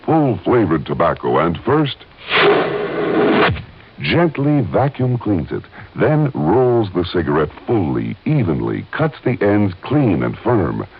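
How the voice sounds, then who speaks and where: A man's voice: deep voice, slightly rough, narrow, tinny audio. An American man reading a 1950s radio advertisement as an announcer, with an emphatic, polished sales delivery. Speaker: commercial announcer. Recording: thin, band-limited old radio recording.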